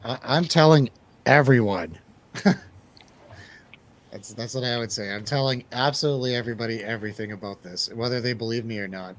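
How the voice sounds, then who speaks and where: A man with a mid-pitched voice hosts a movie review show. He chats casually and jokes around, playfully bantering as he goes. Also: brisk, pauses often. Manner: jokey, playful. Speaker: radio show host and DJ.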